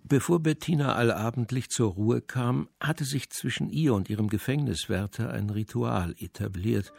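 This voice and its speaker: A man's voice: mid-range voice, normal speed, gravelly, a little mellow, faint music behind. A man acting as the composed narrator of a crime story in a scripted radio drama.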